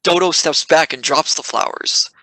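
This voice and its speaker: Bright-voiced male drama narrator. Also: fairly high pitch, moderate pace, no room sound. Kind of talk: scripted audio drama narration.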